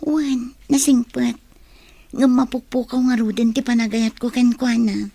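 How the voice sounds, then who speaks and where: A woman's voice: a little high, flat delivery, measured pace, gravelly, dark tone, no echo. A woman with an Ilocano Filipino accent playing a pensive, lovelorn young student in a radio drama. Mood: torn, resigned.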